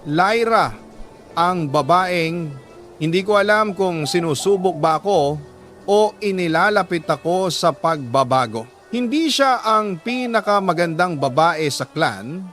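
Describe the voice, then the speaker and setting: A man with a Filipino accent reading a scripted first-person story like a radio storyteller, earnest and measured, with dramatic pauses. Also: sits high, lively intonation, fairly bright tone, faint music behind. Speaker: radio-style storyteller reading a letter sender's love story.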